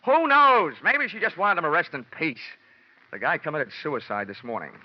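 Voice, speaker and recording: An actor playing a wry, hardboiled freelance insurance investigator in a vintage radio detective play, with a deadpan wisecracking delivery. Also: male voice, on the high side, moderate pace, no echo. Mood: wry, explaining. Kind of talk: 1940s-50s radio detective acting. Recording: dull, band-limited old radio recording.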